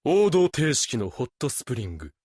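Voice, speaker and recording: A theatrical male announcer calling out an audio drama title. The voice is husky and lively, recorded dry with faint music behind it. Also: medium pitch, very fast, dry recording.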